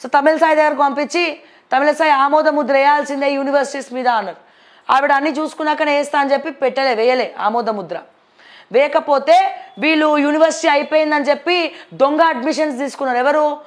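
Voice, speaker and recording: High-pitched Telangana woman news presenter. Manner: conversational, outspoken, mixes in English words. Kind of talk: reading headlines with informal opinionated commentary.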